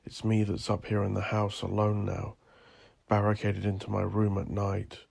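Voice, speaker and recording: A male narrator plays a troubled man alone with his thoughts in an audio drama. The voice is low and creaky, muted and anxious. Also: monotone, normal speed, darkish tone, dry recording, exaggerated voice. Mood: anxious, brooding.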